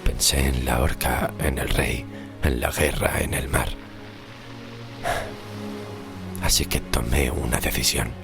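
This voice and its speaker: An actor playing a weary, tormented sixteenth-century shipwrecked sailor, narrating a horror audio drama in a grave, slow, literary way with a gravelly, very deep voice. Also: male voice, exaggerated voice. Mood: bleak, resigned. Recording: music under the voice.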